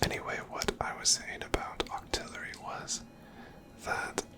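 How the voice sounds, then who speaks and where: An ASMR game streamer speaks entirely in a breathy whisper. The delivery is unhurried and reflective, as if thinking out loud while playing. No full voice comes through, only soft, airy speech. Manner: unhurried, musing aloud. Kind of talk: whispered ASMR gameplay commentary.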